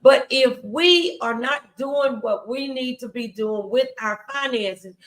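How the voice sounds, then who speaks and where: A woman's voice: sits high, slow, fairly bright tone, rough recording. A woman hosting and preaching, talking to her viewers in an emphatic, exhortatory motivational style. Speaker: show host and preacher. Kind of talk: motivational faith talk on a livestream.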